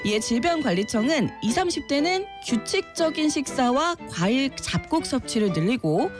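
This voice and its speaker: Lively, high-voiced woman radio news reporter. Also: normal speed, over music. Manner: clear, lively newsreader delivery with chatty asides. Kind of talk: reading short news items on a radio show.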